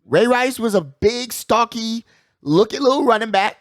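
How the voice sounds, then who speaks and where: High-pitched, animated man talking sports. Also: moderate pace, no room sound, clean studio sound. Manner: emphatic, repeats phrases, slangy asides. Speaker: sports talk show host. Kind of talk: casual sports debate and commentary.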